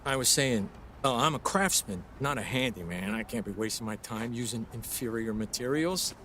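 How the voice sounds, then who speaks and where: A man's voice: mid-range voice, expressive, normal speed, bright, forceful tone. A long-winded scornful 1930s craftsman, radio drama, voice jumps around a lot, bit of traffic noise. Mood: proud, dismissive. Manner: blustery, emphatic, long-winded. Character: proud, opinionated 1930s building craftsman, contemptuous of crybabies. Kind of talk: period radio drama acting.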